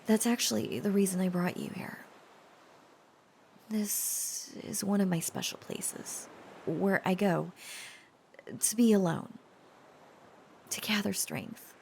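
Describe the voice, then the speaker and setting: A woman playing a tender, protective young dragon-descended girlfriend in an intimate romantic audio roleplay, speaking gently and hesitantly in a breathy, often whispered voice. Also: medium pitch, takes it slowly, long pauses, a little hiss or hum. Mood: nervous, hesitant. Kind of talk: intimate roleplay audio drama, softly acted.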